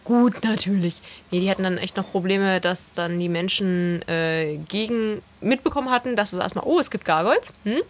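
A woman's voice: medium pitch, moderate pace. A woman co-hosting a podcast, chatting casually and telling humorous anecdotes in conversation with others. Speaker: podcast co-host.

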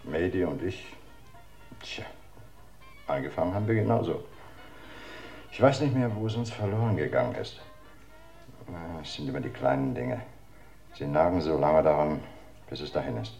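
An actor playing a weary, solicitous middle-aged bookkeeper in a radio crime drama, hesitant and confiding. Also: male voice, rumbling low, lively intonation, normal speed, vocal fry, pauses often, mellow, full character voice. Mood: resigned, wistful. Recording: roomy radio drama recording, faint music.

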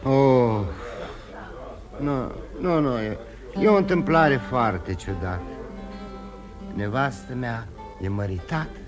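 Actor as ruined drinker, animated and halting. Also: male voice, mid-range voice, natural voice. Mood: weary, confiding. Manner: rambling confession, halting with emotional repetitions. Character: ruined drinking man, self-loathing, confessing his failed life. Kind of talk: theatrical radio drama acting. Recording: radio play with guitar music behind.

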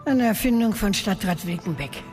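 An elderly woman played by an actress speaks in a long, cavernous reverb with music behind. She is measured and musing, wistful yet coldly self-assured, a cryptic radio drama figure. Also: medium pitch, expressive, a little mellow, lightly acted. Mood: wistful, remembering. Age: older.

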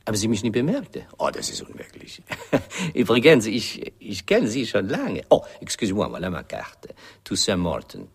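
A slippery, gushing crook with a thick French accent in a radio play. His voice is low and gravelly, swinging widely in pitch as he fawns in broken German sprinkled with French. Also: big pitch swings, moderate pace, full character voice. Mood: gushing, oily. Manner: effusive, affected, broken German peppered with French. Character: oily, intrusive Haitian crook posing as art dealer. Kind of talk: radio drama acting.

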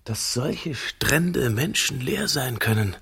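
A man's voice: medium pitch, lively intonation, slow pace, vocal fry, bright, forceful tone, slightly rough, heavily acted. An actor playing a practical, curious man in a radio drama, talking in short matter-of-fact phrases. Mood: curious, uneasy. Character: curious, practical man travelling with his female companion.

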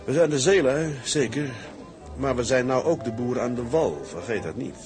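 A man's voice: medium pitch, expressive, moderate pace, lightly acted. Man acting as thoughtful sea captain over music. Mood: sober, reflective. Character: weary, thoughtful male tugboat captain, plain-spoken seaman. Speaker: actor in an audio drama. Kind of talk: dramatic dialogue. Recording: music behind the voice.

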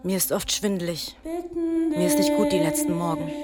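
A woman's voice: high voice, expressive, normal speed, a little mellow. An actress playing a weary young migrant mother who speaks her confiding prayers in a radio drama, with music behind her.

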